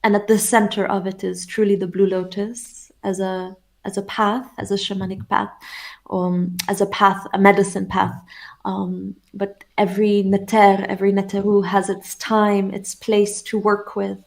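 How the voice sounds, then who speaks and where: A woman from England talking as an interview guest, reflective and soft-spoken, with frequent laughs. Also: medium pitch, level tone, normal speed, vocal fry. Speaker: interview guest, shamanic teacher. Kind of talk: casual interview conversation.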